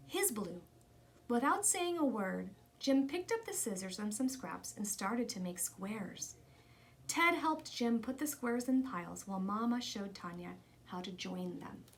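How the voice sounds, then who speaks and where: A woman's voice: medium pitch, a little hiss or hum. A woman reading a children's picture book aloud as scripted story narration. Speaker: storybook reader.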